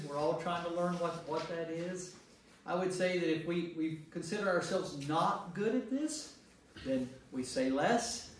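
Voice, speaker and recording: An American man, a pastor leading an informal Bible study, talking conversationally and repeating phrases for emphasis. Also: a little high. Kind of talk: informal group teaching and discussion. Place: echoey indoor group meeting room. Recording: echoey room, distant mic, faint noise.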